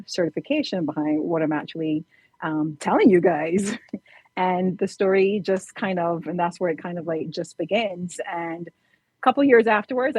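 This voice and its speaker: A woman's voice: lowish voice, breathy edge, no echo, rough recording. A woman from Barbados, a fitness trainer, telling her personal story casually as a podcast guest.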